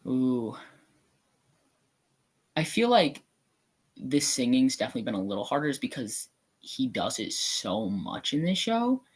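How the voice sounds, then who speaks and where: A man's voice: mid-range voice, big pitch swings, unhurried, long pauses, husky. A young man in casual podcast conversation, talking in a chatty, rambling way full of fillers. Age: young adult. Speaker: guest actor.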